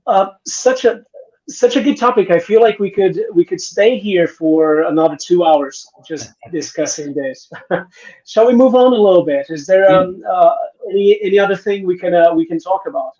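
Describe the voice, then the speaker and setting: Man, middle-aged, raised mellow voice, lo-fi call sound, reflective panel talk. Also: a little high. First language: Portuguese. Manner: reflective, many restarts and "right?" tags. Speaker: panel guest, innovation consultant. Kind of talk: conversational panel discussion.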